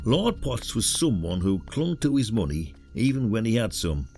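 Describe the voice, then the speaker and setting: An actor as a low-voiced ageing Yorkshire detective. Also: male voice, sing-song, normal speed, creaky, a little mellow, soft background music. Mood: blunt, probing. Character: ageing retired male detective, blunt, working-class Yorkshireman. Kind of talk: podcast audio drama acting.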